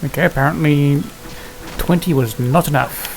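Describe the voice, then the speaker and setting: A man's voice: fairly high pitch, normal speed, creaky, a little hiss or hum, low-quality recording. A man giving casual gameplay commentary, muttering to himself in an understated way. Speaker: gaming video host. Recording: game music behind the voice. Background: video game music.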